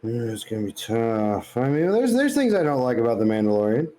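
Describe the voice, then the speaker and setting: A man with a mellow, darker tone chats as a podcast co-host. His delivery is casual and blunt, full of slang and swearing, like opinionated banter between friends. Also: mid-range voice, huge pitch swings, fairly quick.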